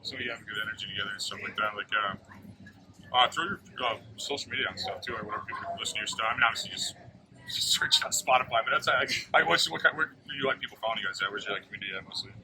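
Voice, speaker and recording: Fast-talking man from Missouri, casual host. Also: fairly low pitch. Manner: loose, slangy, filler-heavy questions. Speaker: host and interviewer. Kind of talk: casual festival interview. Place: outdoor music festival grounds. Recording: loud outdoor festival background noise.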